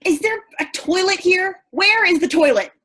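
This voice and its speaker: A woman's voice: very high voice, animated, normal speed, fairly bright tone. A woman acting a blunt, impulsive drinker in a staged play reading, with a theatrical manner. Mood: urgent.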